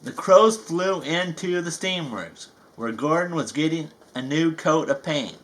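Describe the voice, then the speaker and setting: Male narrator, folksy read-aloud, slow and unhurried delivery, bright voice. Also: fairly high pitch, lively intonation. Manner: folksy, playful storyteller. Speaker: storybook reader.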